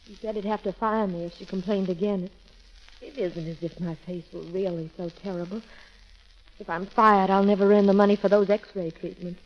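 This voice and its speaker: A woman's voice: medium pitch, fairly even intonation, normal speed, close to an everyday voice. An actress playing a timid, earnest young beauty-shop girl in an apologetic, hesitant 1930s radio romance style. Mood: despondent, anxious. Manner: apologetic, hesitant, breathless exclamations. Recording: muffled, crackling 1930s radio recording.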